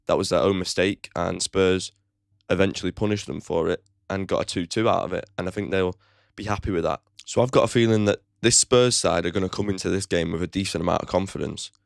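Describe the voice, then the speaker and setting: Low, gravelly-voiced man. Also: fairly quick, no room sound, studio quality. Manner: opinionated and blunt, swears casually; chatty, with frequent "like" fillers. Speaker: football fan channel host. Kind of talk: football match preview vlog.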